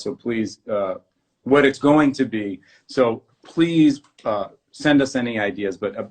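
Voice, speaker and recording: A man's voice: medium pitch, a little mellow. A man hosting an interview, talking enthusiastically and heaping praise on his guest. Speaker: host. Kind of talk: casual interview.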